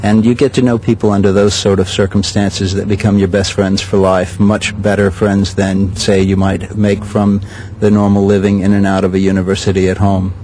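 An older man directing a sailing school, answering interview questions in a measured way with many pauses. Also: low-pitched, level tone, mellow, slight background noise, rough recording. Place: outdoors at a regatta.

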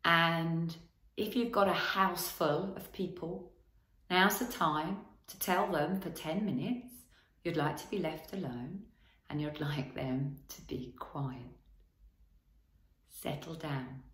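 A woman leading a guided body scan as a relaxation teacher, giving gentle instructions in short phrases with long pauses. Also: sits low, echoey room, poor audio.

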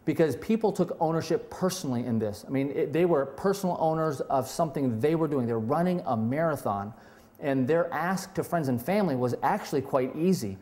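A man's voice: fairly high pitch, fairly quick, a little mellow. Candid, self-deprecating pastor in his forties. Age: 40s. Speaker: lead pastor. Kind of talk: testimonial interview. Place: indoor room with brick wall.

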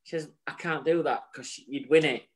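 A man in his forties co-hosts a chat show from home over a video call. His voice sits a bit high, and his talk is chatty and jokey, with loose banter and the odd swear word. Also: normal speed. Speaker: co-host. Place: home room with virtual bar backdrop. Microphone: video call webcam.

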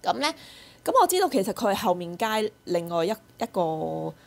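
A woman's voice: lowish voice, big pitch swings, normal speed, creaky. A woman with a Hong Kong Cantonese accent telling personal stories in casual chat as a podcast co-host, acting out the dialogue.

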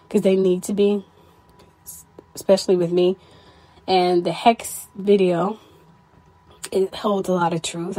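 A tired, stressed woman hosting her own podcast, talking candidly to listeners in a casual personal update, her voice a little hoarse. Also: fairly low pitch, darkish tone. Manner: candid, weary, stressed, with frequent "you know". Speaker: podcast host.

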